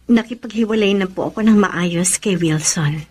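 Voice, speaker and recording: An actress playing a gentle, forgiving young woman in a radio drama, speaking earnestly and politely over music. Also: medium pitch, normal speed, breathy edge, natural voice. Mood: defensive, polite. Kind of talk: Tagalog radio drama acting.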